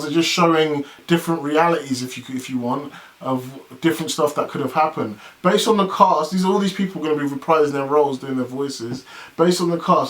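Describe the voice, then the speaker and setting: Male YouTube commentator, higher-pitched voice. Casual and off the cuff, opinionated, blunt, throws out rhetorical questions.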